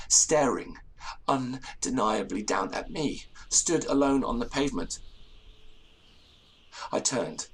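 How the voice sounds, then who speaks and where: An actor playing a fearful, haunted gentleman, telling his ghostly tale in formal, grave gothic prose. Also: medium pitch, husky, low-quality recording. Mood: horrified. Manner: formal, literary, grave phrasing. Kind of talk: dramatic first-person gothic storytelling.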